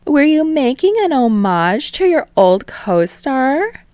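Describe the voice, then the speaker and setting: A woman reading a fan story aloud and voicing a bubbly, teasing female talk show host with a loud, bright, smug manner. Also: high voice, sing-song, takes it slowly, no echo, pitched above the performer's own voice, full character voice. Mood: pointed.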